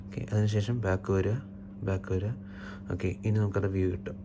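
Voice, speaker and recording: Low, flat-toned Malayali man explaining steps. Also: a little fast, background noise. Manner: step-by-step, matter-of-fact. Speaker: tutorial presenter, installer. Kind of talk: hands-on technical walkthrough, unscripted.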